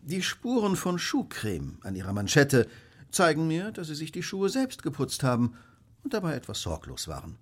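A brilliant, self-assured Victorian detective in a radio drama. His husky voice swings widely in pitch as he lectures with precise, smug certainty. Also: wildly swooping pitch, normal speed, full character voice. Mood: smug, explanatory. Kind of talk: German radio play acting.

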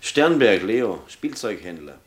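An actor playing a determined, brooding police lieutenant in a radio crime drama, speaking in a measured, dogged way. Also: male voice, on the low side, big pitch swings, heavy background noise.

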